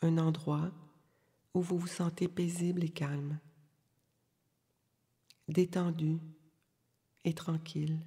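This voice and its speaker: A woman leading a guided yoga nidra meditation, giving calm, soothing, unhurried instructions with long pauses in a soft, breathy voice. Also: deep voice. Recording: long reverberant hall-like echo.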